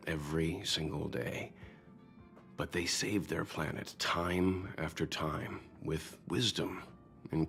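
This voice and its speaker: Deep, wise, steady male mentor. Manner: measured, reflective, reassuring. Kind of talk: scripted video game dialogue. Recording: faint music underneath.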